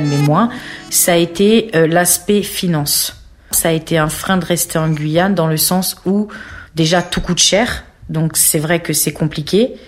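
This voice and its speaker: A woman in her thirties who grew up in French Guiana, speaking hesitantly about her life with frequent pauses and fillers. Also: fairly low pitch. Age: 30s. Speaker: interviewee. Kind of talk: reflective personal testimony.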